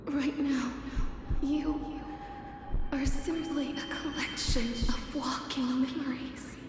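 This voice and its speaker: An ancient goddess-like titan speaks in a high, cavernous voice drenched in long reverb. She is weary and majestic, doling out cryptic fragments extremely slowly. Music swells behind this solemn video game figure. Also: steady tone. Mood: grave, ominous.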